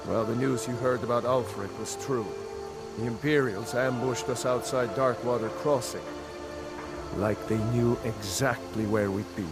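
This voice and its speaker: A man voices a loyal soldier on the run in a fantasy game. He is worn out and speaks gravely and earnestly in a mid-pitched voice. Music is loud behind him. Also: steady tone, unhurried, close to an everyday voice. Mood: weary. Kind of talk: video game voice acting.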